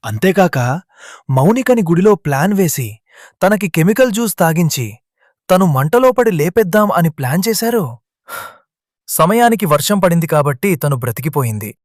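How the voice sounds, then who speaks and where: A man's voice: fairly high pitch, sing-song, moderate pace, long pauses, darkish tone, dry recording, clean studio sound. A man playing an accusing young family man.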